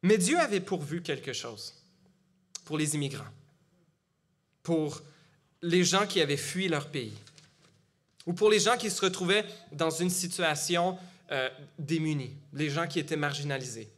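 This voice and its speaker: A man's voice: fairly high pitch, fairly bright tone. A middle-aged man from Gatineau with a Quebec French accent, preaching a sermon in a conversational way with frequent fillers and restarts. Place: church.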